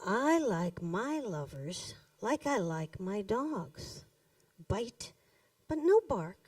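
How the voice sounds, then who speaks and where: A woman performs her poems in a roomy space, heard from a distance. Her voice is fairly high and swings widely in pitch. She phrases each line deliberately, leaving pauses and leaning on key words. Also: very animated, darkish tone, slightly rough. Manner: deliberate, dramatic phrasing with pauses and emphatic stresses. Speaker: poet reading her own poems. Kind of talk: live poetry reading to an audience. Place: indoors in a cafe. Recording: roomy echo, distant microphone.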